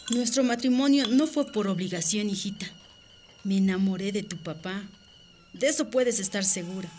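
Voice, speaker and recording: A woman's voice: mid-range voice, normal speed, a little mellow, slight background noise, close to an everyday voice. A woman with a Bolivian accent playing an earnest, consoling mother in a radio drama. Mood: tender, reassuring. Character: earnest, consoling mother speaking frankly to her daughter.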